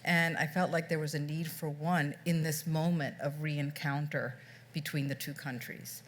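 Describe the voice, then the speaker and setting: Deep-ish voiced woman on a book panel, thinks out loud, keeps rephrasing. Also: flat delivery, moderate pace. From United States. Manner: reflective, circling back and restarting sentences. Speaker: author on a book festival panel. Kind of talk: panel discussion.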